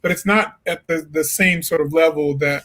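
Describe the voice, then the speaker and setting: A man's voice: a little high, normal speed. A man from Illinois, a jazz singer, chatting expressively as an interview guest. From Peoria, Illinois. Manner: expressive, emphatic storyteller. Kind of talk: casual interview chat.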